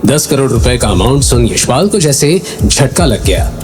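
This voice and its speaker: A man's voice: mid-range voice, very animated, normal speed, over music. A man narrating an audio drama in a dramatic, scripted storytelling style.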